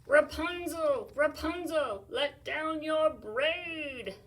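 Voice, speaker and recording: A woman voicing a young lovestruck male prince who shouts up to a tower in a loud, slow, drawn-out voice. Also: sits high, far from the mic, pitched above the performer's own voice, poor audio. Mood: calling upward.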